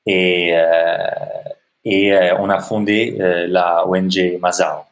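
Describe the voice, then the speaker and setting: Deep-voiced Italian man, halting speech. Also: flat delivery. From Italy. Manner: halting, many fillers and restarts. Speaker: podcast guest, social entrepreneur. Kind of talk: hesitant conversational interview answers.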